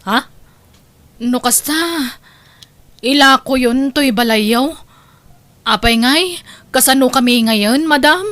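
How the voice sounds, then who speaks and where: An actress with a Filipino accent playing a gossipy, sly housemaid who grumbles and wheedles in an audio comedy drama. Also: female voice, sits high, slow, long pauses, fairly bright tone, no echo. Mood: surprised, worried. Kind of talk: Ilocano comedy radio-style drama acting.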